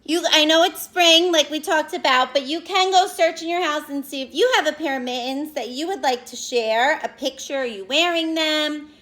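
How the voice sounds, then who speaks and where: A woman teacher doing an expressive read-aloud for young children, speaking to them directly and explaining as she goes. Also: high-pitched, moderate pace, hardly any pauses. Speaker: elementary school teacher reading aloud to pupils.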